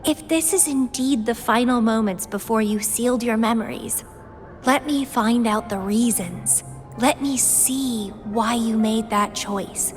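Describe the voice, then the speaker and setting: A woman's voice: a little high, measured pace, lightly acted. An actress voicing a playful, obsessive young woman fighter who craves battle in a video game, with a teasing, theatrical delivery. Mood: composed, intrigued. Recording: music under the voice. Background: game music.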